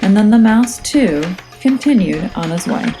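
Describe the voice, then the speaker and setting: A woman reading a children's story aloud as a teacher, in a measured, expressive and suspenseful way. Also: mid-range voice. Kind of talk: dramatic children's storybook narration. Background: music and nature sounds.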